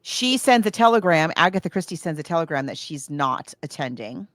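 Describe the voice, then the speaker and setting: Lowish female podcast host just chatting movies, emphatic, really dry close sound. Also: sing-song, a little fast, fairly bright tone, dry recording. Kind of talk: casual film discussion chat.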